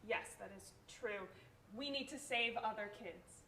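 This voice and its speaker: A high-pitched, placating female social worker acted by a woman in a stage drama reading. She speaks simply and reassuringly, as if to a child, in a roomy space with clear background noise. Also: unhurried, pushed and bright. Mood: gentle, reassuring. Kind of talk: staged reading of a one-act play.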